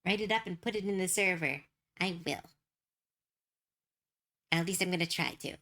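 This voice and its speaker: A woman's voice: fairly low pitch, moderate pace. Giggly, rambling woman streamer. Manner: rambling, giggly, thinks out loud. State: voice getting hoarse and tired. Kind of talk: casual chat while drawing.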